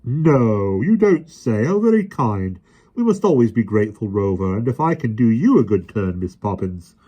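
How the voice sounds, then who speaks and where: A man voicing a gentle, soft-hearted policeman who speaks tenderly and with open emotion. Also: lowish voice, big pitch swings, normal speed, husky, voice pushed up. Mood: gleeful.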